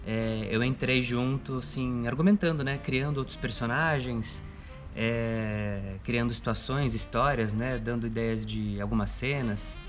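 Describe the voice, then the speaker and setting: Man, Brazilian accent, medium pitch, casual interview, tag questions, faint background music. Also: measured pace. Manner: frequent "né" tags. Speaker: cultural producer and co-writer.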